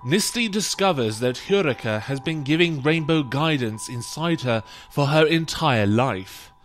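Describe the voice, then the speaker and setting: A man's voice: on the high side, expressive, unhurried. A man reading a scripted narration that recaps a fantasy story. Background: background music.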